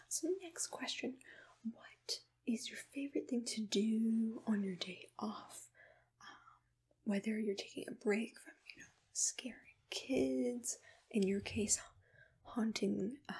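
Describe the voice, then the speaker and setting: A woman whispers softly and gently in an ASMR roleplay, asking questions in an attentive, breathy voice close to the microphone. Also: a little high, fairly even intonation, a little slow. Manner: soft-spoken, gentle, attentive personal tone. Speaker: ASMR roleplayer playing a monster matchmaker. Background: computer keyboard typing.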